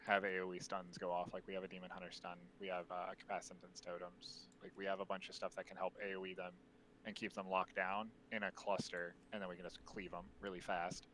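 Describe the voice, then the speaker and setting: Streamer leading a raid, deep voice held soft, bright edge, casual fillers. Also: male voice, flat delivery, fairly quick, slight background noise, rough recording. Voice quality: deep voice, speaks quietly on purpose. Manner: explaining, loose with many fillers. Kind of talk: casual gaming voice chat.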